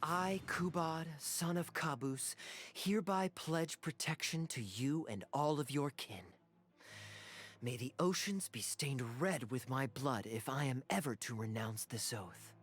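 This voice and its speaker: A man voicing a suave, teasing young swordsman and noble prince in a video game, with smooth, theatrical delivery. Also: mid-range voice, a little slow, a bit bright. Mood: solemn, noble. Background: background music.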